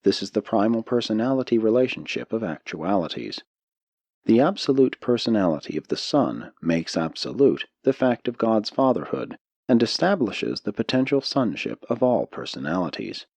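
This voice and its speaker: Deep-voiced man reading with expressive range. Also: breathy edge, no room sound. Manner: solemn, measured reading. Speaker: audiobook narrator. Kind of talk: scripted narration of a spiritual text.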